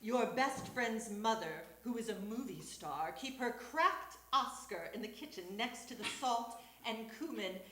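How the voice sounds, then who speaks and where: A woman's voice: on the high side, lively intonation, measured pace, hardly any pauses. A woman reading a personal essay aloud to an audience at a live storytelling show. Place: small theatre stage. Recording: roomy live stage recording with background noise.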